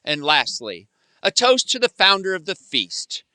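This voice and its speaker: An actor playing a gentle, grateful, peace-keeping family man in a radio play. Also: high-pitched, very animated, measured pace, clear, resonant tone, no echo, clean studio sound, exaggerated voice. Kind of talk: live radio play acting.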